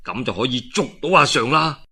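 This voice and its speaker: A man voicing a shrewd, calm military strategist in an oral historical tale, measured and persuasive, with a Guangdong Cantonese accent. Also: mid-range voice, slightly rough, exaggerated voice. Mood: calm scheming. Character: shrewd, calm male military strategist advising his lord.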